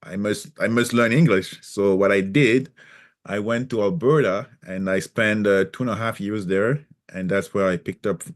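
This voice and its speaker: A man with a lowish voice and a mixed French and Cameroonian accent. He is a native French speaker from Cameroon, telling his story as a guest in a relaxed chat. He hesitates often and restarts his sentences as he searches for words. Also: normal speed. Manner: hesitant, many fillers and restarts. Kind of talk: casual interview chat.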